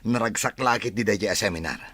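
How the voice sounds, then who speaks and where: A man's voice: on the low side, quick pace, sharp tone, no echo. A man acting as an evasive, defensive husband and son in an audio drama, brushing off questions with exasperation.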